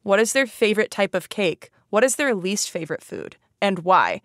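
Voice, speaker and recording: A woman hosts an educational podcast with a casual, chatty delivery. She is playful and self-deprecating, sprinkling "like" through her sentences. Her voice has an average pitch and sits in a very dry recording space. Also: very animated, normal speed, a bit bright, no room sound. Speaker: podcast host.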